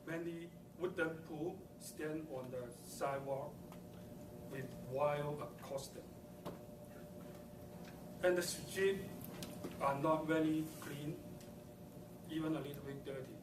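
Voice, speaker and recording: A man's voice: fairly high pitch, steady tone, takes it slowly, long pauses. A man from southern China whose first language is Cantonese, giving a prepared first speech in English with a Chinese accent, hesitant and filled with ums and uhs. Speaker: first-time club speaker. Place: meeting room. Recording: echoey room, mic far off, background noise.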